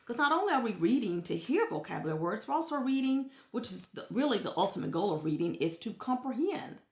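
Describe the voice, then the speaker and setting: A woman in her thirties talks to parents like a teacher, earnest and encouraging, and her pitch jumps up to push key points. Her voice has a gravelly edge, and the room adds a noticeable echo. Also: very animated, fast. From United States. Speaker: former classroom teacher giving parenting tips. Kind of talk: informal teaching talk to parents.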